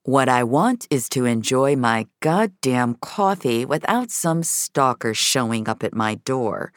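A woman puts on an arrogant Hollywood leading man for an audio drama. He is curt, cold and impatient, with a very low voice. The recording is dry. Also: lively intonation, unhurried, no room sound. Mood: cold, threatening. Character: arrogant, irritated male Hollywood star and father.

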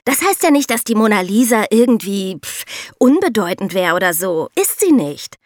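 Woman, high-pitched, animated intonation, colloquial podcast talk, emphatic explainer, dry sound. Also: normal speed, no echo. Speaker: podcast co-host. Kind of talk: lively explanatory talk with co-hosts.

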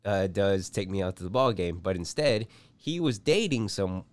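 A man's voice: deep voice, animated, moderate pace. A young man co-hosting a comedy podcast, rambling through a story in casual chat and laughing often. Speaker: podcast co-host.

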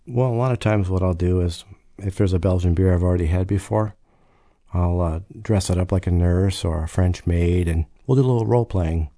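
A man with a low, very dark and mellow voice speaks as a guest expert on a radio show. He answers at an even pace and slips in deadpan jokes. His voice is dry and close to the mic. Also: a little fast, no echo.

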